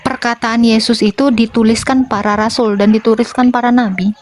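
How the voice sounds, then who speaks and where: Assertive higher-voiced woman debating on a call. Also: fairly even intonation, a little mellow, no echo. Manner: assertive, quick rhetorical retorts.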